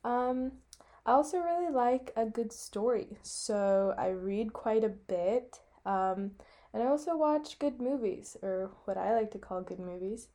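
A woman's voice: fairly high pitch, unhurried, warm tone. A young American woman, about eighteen, chatting casually as a podcast co-host. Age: young adult, about eighteen. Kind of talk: casual chat.

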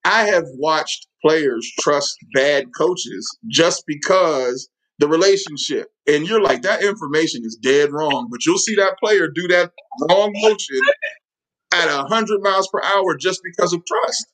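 A man's voice: a little high, unhurried, a bit bright, poor audio. Emphatic man, a football coach. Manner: earnest and emphatic. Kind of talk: casual interview chat.

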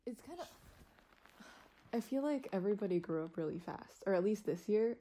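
A teenage girl chatting casually with friends on a podcast while lying on her bed, relaxed and rambling. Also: medium pitch, a little fast. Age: teens. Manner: relaxed, rambling, laughs often. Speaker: podcast co-host. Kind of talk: casual chat among friends.

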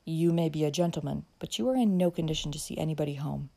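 A chivalrous young gentleman, voiced by a woman narrator, low and steady with a creaky edge. He speaks calmly and matter-of-factly, protective and a little curt, in storybook style. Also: quick pace. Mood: firm.

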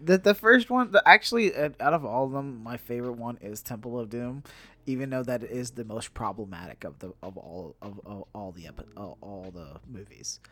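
A man's voice: medium pitch, fairly quick, fairly bright tone, slight background noise. A man co-hosting a podcast in a casual, conversational style, often restarting and repeating his phrases as he goes. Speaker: podcast co-host. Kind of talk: casual chat about entertainment news.

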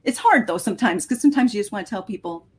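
A woman's voice: mid-range voice, lively intonation, very fast, slightly rough. A woman speaking conversationally as a storyteller guest on a talk show.

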